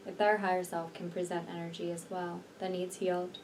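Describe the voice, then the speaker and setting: A woman guides a healing meditation in a mellow, relaxed voice. She speaks slowly and soothingly, letting long pauses sit between deliberate phrases. The recording sounds a little distant, with faint noise behind her. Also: lowish voice. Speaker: energy healer and meditation guide.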